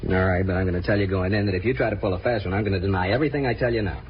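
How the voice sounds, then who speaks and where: An actor playing a cagey, irritable out-of-work performer under police questioning, brusque and guarded, in 1950s radio drama style. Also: male voice, sits low, very fast, natural voice. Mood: defensive, irritable. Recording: muffled, hissy old radio recording.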